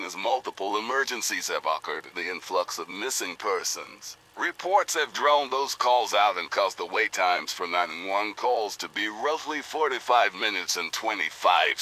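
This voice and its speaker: Formal emergency announcer for a radio drama, very low voice, played by a man. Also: full character voice, rough recording. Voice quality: formal, even official reading. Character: male emergency broadcast announcer.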